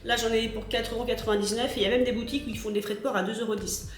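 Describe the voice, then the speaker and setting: A woman's voice: on the high side, fairly even intonation, racing, roomy sound, slight background noise. A woman in her thirties with a cold, chatting and rambling through a casual unboxing in her home kitchen. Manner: chatty, rambling, many fillers. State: stuffy from a cold. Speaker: vlogger.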